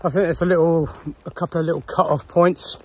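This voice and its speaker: A man's voice: on the high side, fairly even intonation, fast talker, slightly rough. A man in his fifties, a hiker, chatting casually and wryly to his phone on a windy coastal footpath. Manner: chatty, rambling, wry asides. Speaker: hiker vlogger. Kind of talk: casual walking vlog narration. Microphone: phone at arm's length.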